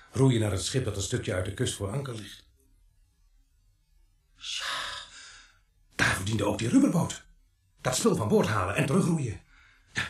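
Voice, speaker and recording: A brusque, shrewd police sergeant in a radio drama. His pitch swings in a lively way as he gives orders and explains. The room rings around his gruff talk. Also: roomy sound. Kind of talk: Dutch radio play acting.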